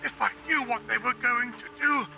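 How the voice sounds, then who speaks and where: Remorseful old father, analog horror: extremely high, gravelly voice, faintly noisy tape. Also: moderate pace, pushed and bright, full character voice. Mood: remorseful pleading. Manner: apologetic, pleading. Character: grieving, guilty old father in a horror tale.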